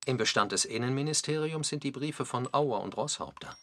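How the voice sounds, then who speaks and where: A man reading scripted narration for a radio feature, with a slightly husky voice. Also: mid-range voice, a little fast, a bit bright, slight background noise, lightly acted.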